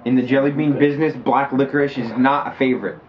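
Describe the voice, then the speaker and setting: A man's voice: mid-range voice, steady tone. Man stumbling through a story, muffled recording. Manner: stumbling, halting reader. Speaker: amateur story reader. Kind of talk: reading a children's story aloud, casual with interruptions. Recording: muffled lo-fi home recording, background noise.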